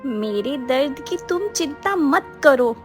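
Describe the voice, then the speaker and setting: A woman's voice: sits high, expressive, takes it slowly, over music. A woman voicing a wounded young fairy, anguished and proud, in a dramatic cartoon fairy tale. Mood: bitter, scornful. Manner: dramatic, tearful delivery.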